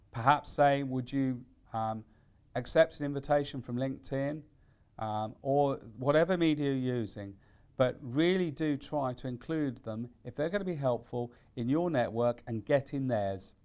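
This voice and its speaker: A man with a medium-pitched voice presents practical advice in an instructional style. He phrases things deliberately and leans on key words for emphasis, as a careers consultant would. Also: darkish tone, clear, resonant tone. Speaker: careers consultant giving tips.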